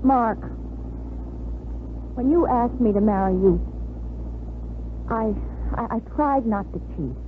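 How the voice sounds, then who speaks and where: A restless, torn young bride-to-be in a 1950s radio drama. Her voice sits fairly high and she speaks haltingly and earnestly. The sound is narrow and muffled, like an old broadcast, with slight hiss. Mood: tense, confessing. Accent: American. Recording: muffled vintage radio recording, faint hiss.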